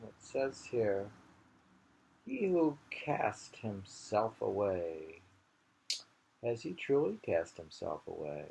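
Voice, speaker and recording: A man's voice: lowish voice, vocal fry, mellow. A man reading poetry aloud and commenting informally, musing and rambling as he goes, often repeating phrases. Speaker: poetry reader. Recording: noisy amateur home recording.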